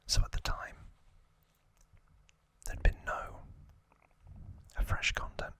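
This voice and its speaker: A young man whispers very slowly for ASMR, right up at the microphone. His hushed voice is crisp and bright, with each short phrase set carefully apart. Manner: hushed, slow, deliberate delivery in short separated phrases. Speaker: host of an ASMR review series. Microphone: close ASMR microphone.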